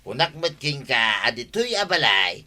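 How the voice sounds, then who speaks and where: A man's voice: mid-range voice, big pitch swings, normal speed, pushed and bright. A man playing a stubborn, idle husband in an Ilocano radio comedy drama, complaining and insisting in a blustering, whining way with an Ilocano accent. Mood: indignant. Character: stubborn idle husband demanding food, complaining about his wife.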